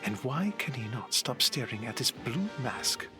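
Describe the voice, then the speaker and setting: A man's voice: mid-range voice, big pitch swings, unhurried, music in the background. A man narrating a scripted adventure story as its storyteller.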